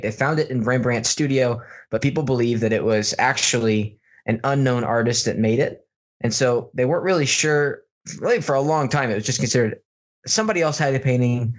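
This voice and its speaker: A man's voice: mid-range voice, brisk. An American man with a mid-range voice hosts a casual podcast. He tells news stories informally and with animation, in the easy style of comedic banter between friends. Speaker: podcast host.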